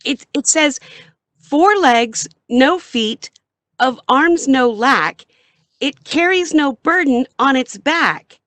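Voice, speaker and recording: A woman reading a frightened young companion in a fan radio play: high, lively voice, unhurried and pleading, dry close sound. She sounds anxious and worried. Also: dry recording, full character voice. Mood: puzzled.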